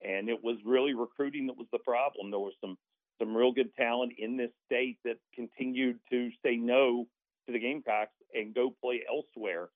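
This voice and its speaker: Male sports announcer as call-in guest, narrow phone-band sound, mid pitch, chatty and folksy analysis. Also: steady tone, normal speed, no room sound. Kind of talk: conversational basketball analysis. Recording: tinny phone-like line.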